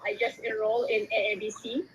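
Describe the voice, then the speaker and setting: A woman from Sudan whose first language is Arabic, asking for advice in a casual live audio chat, halting and hesitant with many fillers. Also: fairly high pitch, little pitch movement, a little hiss or hum, poor audio. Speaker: caller, new coding student.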